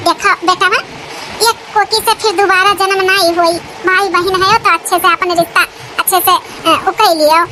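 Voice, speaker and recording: A woman's voice: very high voice, fairly even intonation, moderate pace. A woman voicing plain-spoken village family women in a cartoon comedy, with a rustic Awadhi accent. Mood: chiding. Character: high-voiced village women of a family cartoon: wife, mother, sister. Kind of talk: rural cartoon comedy dialogue. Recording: clearly noisy with outdoor ambience.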